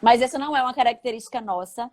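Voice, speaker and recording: A woman's voice: a little high, rapid-fire, a little mellow. A Brazilian woman educator chatting in a live stream, often turning to her co-host for agreement. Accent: Brazilian Portuguese. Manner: affirming, checks in with the co-host. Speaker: school educator.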